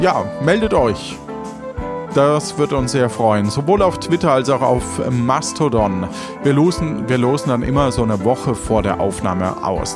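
A man hosting an audio play as game master, talking casually and informally with halting phrasing. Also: on the high side. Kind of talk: casual podcast talk and narration. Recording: music behind the voice.

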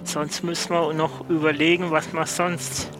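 A man speaking in a plain, earnest, deliberate way as a guest player in an improvised audio adventure. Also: fairly high pitch, little pitch movement, music in the background.

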